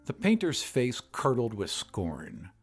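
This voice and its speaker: A man narrating an audio drama in a measured, expressive way. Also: mid-range voice, slightly rough, soft background music.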